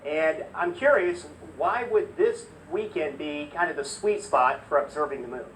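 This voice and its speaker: Higher-voiced man interviewing, slightly distant. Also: moderate pace, fairly bright tone. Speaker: news interviewer. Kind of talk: conversational broadcast interview. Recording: distant mic with faint noise.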